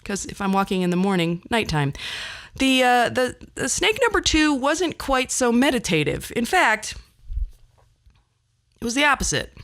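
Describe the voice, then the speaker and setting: A woman's voice: a little high, very animated, normal speed, fairly bright tone. A woman podcast host telling a personal story casually, chatty and rambling, with wry asides.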